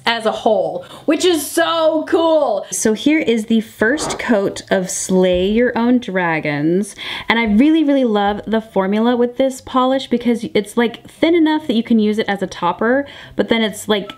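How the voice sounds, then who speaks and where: A woman reviewer giving a casual product review and tutorial in a chatty, gushing way, repeating words for emphasis. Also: a little high. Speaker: nail polish reviewer and vlogger.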